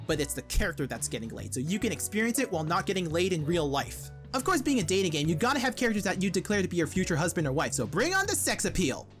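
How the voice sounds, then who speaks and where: Quick, lively, wry video essay narrator. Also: mid-range voice. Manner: wry, irreverent. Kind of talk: scripted comedic narration. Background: background music.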